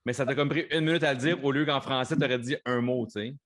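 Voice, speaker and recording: A man with a Québécois accent co-hosting a podcast in casual chat, halting and often restarting his sentences. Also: medium pitch, steady tone, fairly bright tone. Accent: Québécois French. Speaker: podcast co-host.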